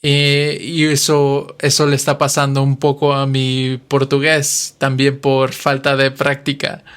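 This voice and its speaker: A man's voice: medium pitch, a bit bright, clean tone. A man in his twenties from western Mexico with a Mexican Spanish accent, hosting a podcast and patiently explaining things with examples. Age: 20s. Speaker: podcast host, Spanish teacher. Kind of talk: slow explanatory podcast monologue.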